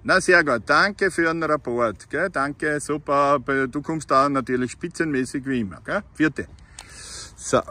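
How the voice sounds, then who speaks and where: A man's voice: a little high, unhurried, sharp tone. A man with an Austrian accent chatting casually as a CB radio hobbyist, recorded outdoors. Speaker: amateur CB radio operator filming his meetup. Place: outdoors by a roadside.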